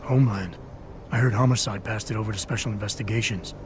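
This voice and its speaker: An actor playing a guarded, augmented male security chief in a video game, speaking in a dry, clipped, low rasp. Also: steady tone, quick pace, background noise, lightly acted.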